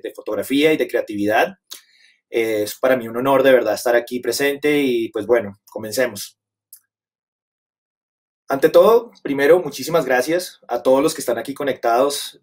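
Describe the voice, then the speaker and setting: A man in his forties with a Colombian Bogotá accent, speaking at a medium pitch. He talks through a webcam video call, gracious and rambling, with many fillers and restarted phrases. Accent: Colombian Spanish, Bogotá. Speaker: guest photographer presenter. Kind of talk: webinar presentation. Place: home setup on a video call.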